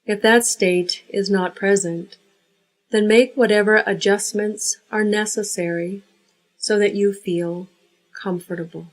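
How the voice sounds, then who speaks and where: A woman leading a guided yoga nidra relaxation, giving unhurried, measured instructions. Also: medium pitch, poor audio.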